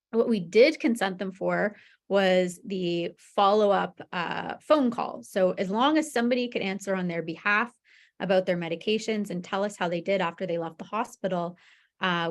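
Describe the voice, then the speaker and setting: Woman presenting research, slightly creaky voice. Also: mid-range voice. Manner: informal explaining with frequent "you know" and "right?" check-ins. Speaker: medical researcher presenting a study. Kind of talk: webinar research presentation, conversational explaining.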